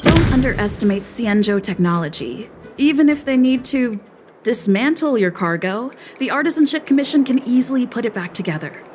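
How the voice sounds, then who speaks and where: A woman's voice: on the high side, expressive, a little fast, over loud music, natural voice. A woman voicing a cool-headed, cheeky young negotiator in a video game, composed, confident and persuasive.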